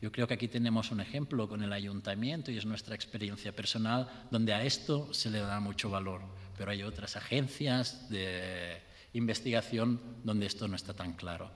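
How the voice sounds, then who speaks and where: A man giving a formal, measured conference talk as an institute director. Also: fairly low pitch, fairly bright tone, big-room sound.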